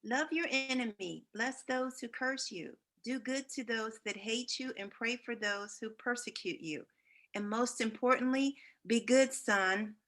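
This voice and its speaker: A woman acts out a tender mother saying farewell to her son in a drama table read. She speaks slowly and solemnly, in a bright, slightly high voice with creaky edges. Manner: slow, deliberate, solemn delivery.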